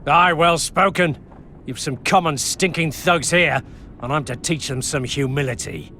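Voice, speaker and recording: An actor playing a menacing, contemptuous huntsman in a video game, gravelly, curt and commanding. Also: fairly high pitch, measured pace, bright, forceful tone, a little hiss or hum. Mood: cold menace. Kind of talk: video game cutscene dialogue.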